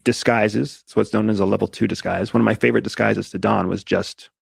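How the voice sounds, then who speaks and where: A man's voice: lowish voice, little pitch movement, a little fast, creaky, breathy edge, no echo. A man on an interview show, explaining a subject methodically and laying it out point by point. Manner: methodical, lays points out step by step. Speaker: guest, disguise expert. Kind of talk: casual podcast interview.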